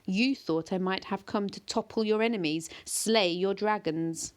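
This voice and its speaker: A woman voicing an ancient, gigantic yew-tree monster that speaks slowly and gravely, with quiet command. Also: medium pitch, natural voice.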